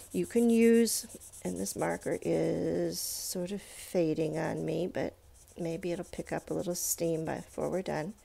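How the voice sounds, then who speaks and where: A woman's voice: fairly low pitch, gravelly, warm tone. A woman giving an informal step-by-step drawing tutorial, thinking aloud and encouraging as she demonstrates. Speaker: drawing teacher.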